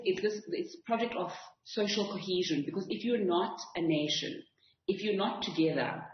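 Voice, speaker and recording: A woman's voice: low voice, echoey room, lo-fi sound. A woman with a South African accent giving earnest, articulate, conversational answers as an interview guest. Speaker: author and guest.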